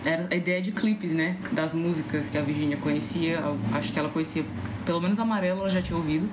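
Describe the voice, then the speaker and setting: A woman's voice: deep voice, fast talker, heavy background noise. A woman with a northeastern Brazilian accent from Ceará, chatting casually and colloquially as a podcast guest. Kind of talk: casual chat.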